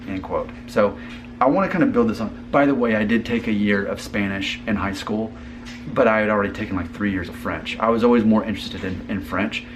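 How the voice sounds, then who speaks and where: A man's voice: fairly low pitch, background noise. A man hosting a casual family conversation, earnest and thinking aloud with frequent restarts. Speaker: host.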